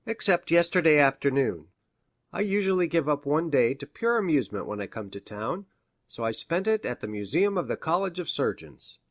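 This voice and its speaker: A man's voice: a little high, expressive, moderate pace, dry recording, clean studio sound, lightly acted. A man playing a learned country doctor in a storybook, with a formal, pedantic manner. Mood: earnest.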